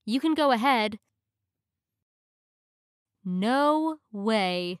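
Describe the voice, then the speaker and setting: A woman reading short English practice phrases in a clear, measured way, each one said twice. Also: a little high, expressive, no room sound, clean studio sound.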